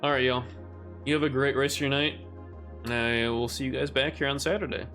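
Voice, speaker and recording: A man streaming a game from home, chatting casually with viewers as his voice grows raspy and rough. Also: mid-range voice, steady tone, a little fast, a bit bright. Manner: laid-back, swears casually, talks to chat. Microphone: condenser studio mic. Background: game music.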